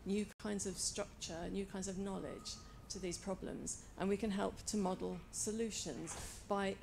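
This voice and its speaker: Woman academic, measured and articulate, vocal fry throughout, faint room noise. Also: medium pitch, steady tone, a little mellow. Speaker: academic audience member. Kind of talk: panel discussion remarks. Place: lecture hall, in the audience.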